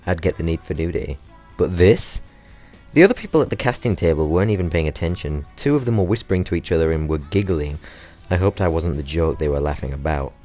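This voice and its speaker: A British man with a low-pitched voice reads a story aloud in a loose, comedic way. He is cheeky and sweary, slipping out of the narration into chatty asides, with faint music behind him. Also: a little mellow. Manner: sweary, cheeky, often breaking into laughter. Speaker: host of a gaming channel reading stories aloud.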